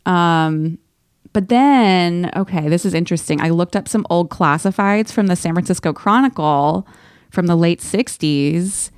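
A woman co-hosting a podcast in casual chat, retelling things in a wry, animated, slangy way. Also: fairly low pitch, unhurried, clean tone, dry recording, studio quality. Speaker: podcast co-host. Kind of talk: casual book-discussion chat.

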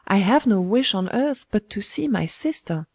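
A woman's voice: mid-range voice, animated, moderate pace, no room sound, clean studio sound. A woman voicing a proud, flustered young male student in a storybook, indignant and earnest. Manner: indignant, breathless questioning.

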